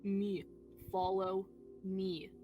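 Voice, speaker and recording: A woman's voice: medium pitch, level tone, extremely slow, lo-fi sound, music in the background. A woman playing an urgent, abrupt, scruffy male workman in a radio theatre mystery.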